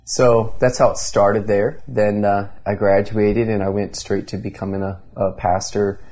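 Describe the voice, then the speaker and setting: Low gravelly-voiced earnest pastor man. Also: flat delivery, a little slow, darkish tone. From Indiana, USA. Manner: earnest, many restarts and fillers. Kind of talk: conversational interview storytelling.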